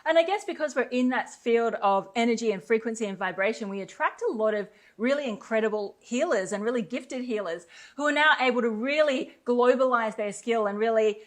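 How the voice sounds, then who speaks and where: An Australian woman co-hosts a webinar with upbeat, chatty energy. Her voice sits somewhat high, and she talks loosely, with plenty of fillers. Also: a little fast, few pauses. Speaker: webinar co-host. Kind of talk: promotional webinar talk.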